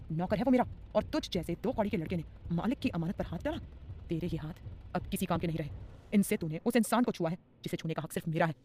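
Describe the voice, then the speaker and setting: A woman's voice: on the low side, mellow, slight background noise, close to an everyday voice. A woman voicing a possessive, threatening male mafia boss in an audio story, her delivery intense and menacing.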